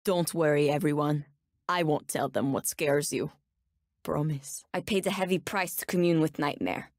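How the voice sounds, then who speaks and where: A sardonic, menacing bounty hunter from a video game, a woman who wields nightmares. Her voice sits on the low side and carries a Turkish accent. Every line lands cool, deliberate and ominous, in a dry, close recording with no room around it. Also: a little mellow, dry recording. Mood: cool menace. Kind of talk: video game character voice lines.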